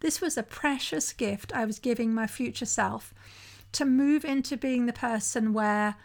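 A woman's voice: a little high, a little slow, vocal fry, a little mellow. A middle-aged woman hosting a solo podcast, talking conversationally and candidly about her own experiences, often checking in with the listener. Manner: conversational, frequently asks "right?" to the listener.

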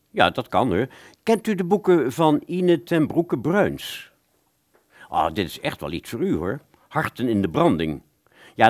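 Actor as fussy, animated male shop assistant. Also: medium pitch. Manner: fussy, patronizing, hesitant. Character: courteous, pedantic, evasive bookshop salesman. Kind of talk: radio play dialogue.

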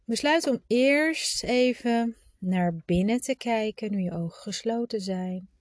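A woman leading a guided meditation in a soft, soothing, instructive manner, at times trailing into a breathy whisper. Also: fairly high pitch, no room sound, clean studio sound. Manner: informal, instructive, with unhurried pauses.

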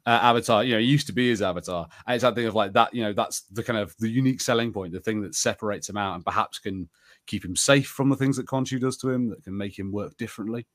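A man with a fairly low voice talks as a podcast co-host. His delivery is casual and conversational, rambling along with lots of "like" as he gives his opinions. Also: fast. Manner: rambling and conversational, heavy use of "like". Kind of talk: casual chat about TV shows.

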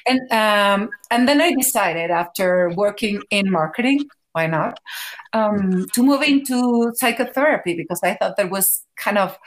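A woman's voice: mid-range voice, poor audio. A middle-aged woman, a psychotherapist and coach, chatting as a podcast guest and laughing often while she tells stories. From Mexico. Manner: laughs often, lively storytelling. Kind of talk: casual conversation.

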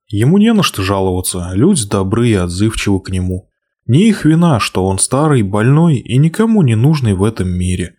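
Expressive male storyteller, lowish voice. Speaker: narrator. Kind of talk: storybook reading.

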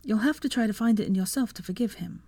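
A woman voicing a protective mother in a story, earnest, measured and consoling. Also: fairly high pitch, dark tone, pitched above the performer's own voice. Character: firm, consoling mother, grown woman, protective of her daughter. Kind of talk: dramatised fan fiction reading.

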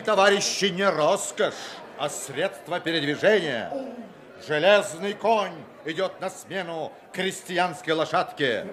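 Cocky radio play con man, sarcastic n grandiose, very animated, highish voice. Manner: glib, grandiose, biting. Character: brash, sardonic male con man leading a car rally. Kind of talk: Soviet radio play acting.

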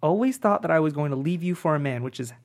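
An actress playing a sardonic, wounded, sickly friend and old lover in a dramatic script read, with wry, needling delivery. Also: female voice, deep voice, animated, quick pace, darkish tone.

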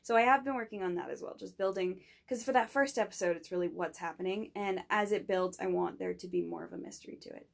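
A young woman student pitching her own project in casual conversation, rambling with fillers and restarts. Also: sing-song, fast talker, vocal fry, few pauses. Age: young adult.

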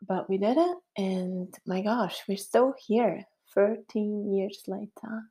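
A woman's voice: mid-range voice, slow pace, dark tone, slightly rough. A middle-aged woman from Switzerland with a Swiss German accent, hosting a casual solo podcast in a chatty, upbeat way with frequent fillers. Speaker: podcast host.

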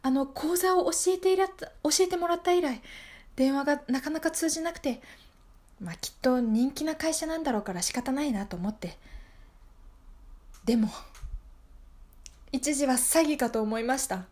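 A woman's voice: sits high, normal speed, long pauses, a little mellow, close to an everyday voice. A woman playing a desperate, gullible single woman in her thirties, pleading and repeating herself in an improvised radio drama. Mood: agitated, earnest. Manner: pleading, breathless repetition, overwrought.

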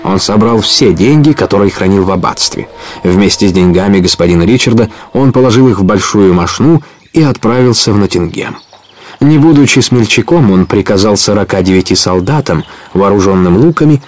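A man narrating an audio play as a scripted adventure story, in an expressive storytelling manner. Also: lowish voice.

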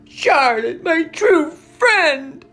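A woman's voice: high-pitched, expressive, very slow, a bit bright, voice pushed up, full character voice. A woman voicing a desperate, emotional young male pig in a storybook, crying out and pleading. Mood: anguished. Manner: cries out in anguish, stretching words. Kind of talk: dramatic storybook character reading.

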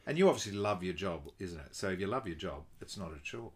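A man's voice: sits low, animated, fast, husky. A man who interviews a guest, asking questions and offering frequent encouraging, affirming interjections. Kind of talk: conversational interview.

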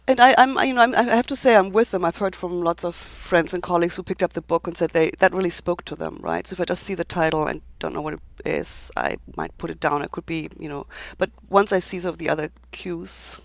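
A woman author being interviewed about her book, speaking in a thoughtful, measured way with frequent restarts. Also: on the low side, vocal fry, dry recording. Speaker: author and legal scholar, interview guest. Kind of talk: conversational interview.

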